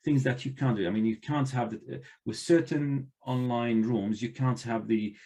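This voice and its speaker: A man's voice: medium pitch, a little mellow. A man who is not a native English speaker, a librarian trainer speaking earnestly on an online panel, with frequent restarts. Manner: earnest, halting, many restarts.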